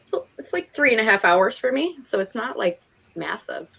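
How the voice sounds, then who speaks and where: A woman's voice: fairly high pitch, big pitch swings, moderate pace, gravelly, fairly bright tone, slight background noise. A woman with a Northeastern American accent hosting a live stream, chatting casually in a giggly, talkative way. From Pennsylvania and New York, United States. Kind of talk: casual chat.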